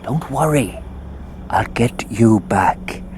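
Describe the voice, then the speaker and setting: An actor playing a frightened young crusader in a radio drama, muttering haltingly in a hushed voice. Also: male voice, fairly low pitch, lively intonation, a little hiss or hum. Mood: tense, protective. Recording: studio radio drama under loud music.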